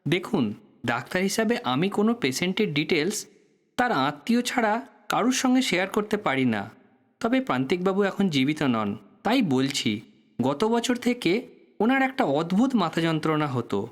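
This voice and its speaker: A man's voice: high-pitched, sing-song, moderate pace. A man playing a courteous, guarded and slightly weary doctor in an audio drama, explaining things in a professional manner. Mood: guarded, explanatory. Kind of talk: Bengali audio drama acting.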